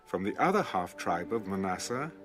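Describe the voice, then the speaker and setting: A man reading Bible passages aloud in a measured, even recitation, as an audio Bible narrator. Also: low voice. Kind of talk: scripted scripture reading. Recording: music bed under the reading. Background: background music.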